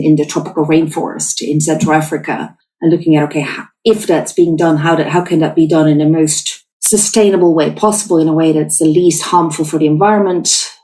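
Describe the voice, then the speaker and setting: A woman's voice: low voice, darkish tone, distant mic. A Dutch woman in her forties, a podcast guest, telling her story with frequent restarts and repeated words. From Netherlands. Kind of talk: podcast interview.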